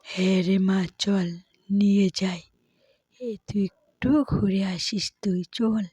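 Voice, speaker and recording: Woman as indulgent dad of a little girl, cartoon, mellow and coaxing. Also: mid-range voice, steady tone, slow, husky, no room sound.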